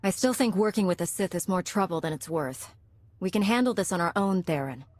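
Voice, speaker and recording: An actress playing a tough, blunt, determined heroine in a space opera video game. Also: female voice, lowish voice, animated, fairly quick, darkish tone, dry recording, lightly acted. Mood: skeptical.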